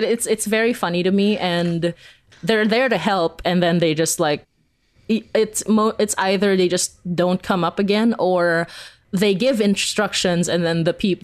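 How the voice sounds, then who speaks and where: A woman's voice: on the low side, normal speed. A young adult woman chatting casually as an interview guest, giggly and often acting out imagined remarks. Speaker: podcast creator and interview guest.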